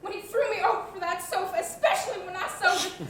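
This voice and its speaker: A young woman on stage playing a flustered, guilt-ridden male rector who confesses in halting, apologetic stammers. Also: extremely high pitch, a crowd in the background. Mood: distraught, overwrought. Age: young adult. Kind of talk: comedic student stage acting. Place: theatre stage. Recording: echoey stage, distant mic, faint noise.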